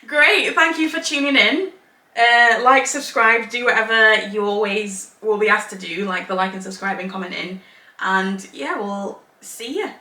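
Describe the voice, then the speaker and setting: Young woman, casual podcast co-host, bright voice, roomy echo. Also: medium pitch, unhurried. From England. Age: young adult. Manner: talkative, laughs often, restarts sentences. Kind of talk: casual chat. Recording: echoey room, mic at a distance.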